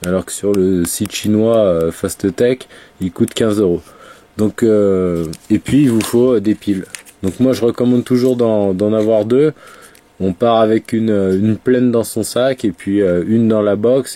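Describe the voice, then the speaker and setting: A man's voice: fairly low pitch, steady tone, measured pace, mellow. A man with a head cold, chatting casually through a product review with lots of fillers and restarts. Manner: rambling, many fillers and restarts. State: has a cold, congested. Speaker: vape reviewer.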